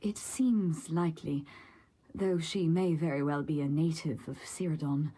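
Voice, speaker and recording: A husky, breathy female guide speaks in a slightly low register. She is composed and guarded, a fantasy game character with a measured, reflective, hushed delivery. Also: fairly even intonation. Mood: pensive. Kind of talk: scripted game voice acting.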